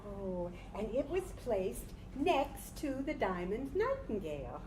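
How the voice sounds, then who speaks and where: A woman with a fairly high voice narrates in a staged story reading. Her voice comes through a roomy recording with faint noise. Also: very animated, slow pace. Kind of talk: dramatized literary storytelling. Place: live staged reading in a room.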